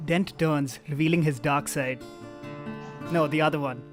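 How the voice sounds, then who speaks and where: A man narrating stage directions for a comic table read, with wry, deadpan asides. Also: fairly high pitch, moderate pace. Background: live guitar music.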